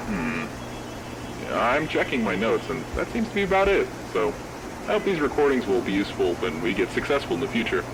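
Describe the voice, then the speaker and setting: Noisy old tape recording, man performing earnest male 1970s business founder, animated intonation, casual musing, horror series audio log. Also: on the low side, fairly quick. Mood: upbeat. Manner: casual, musing, hesitant note-checking. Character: upbeat, earnest 1970s restaurant founder logging progress.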